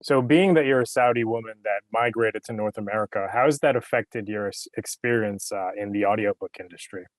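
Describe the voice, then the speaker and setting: A man's voice: fairly low pitch, fairly quick, few pauses, dry recording, low-quality recording. A man, an audiobook producer, hosting an interview in a friendly, upbeat way.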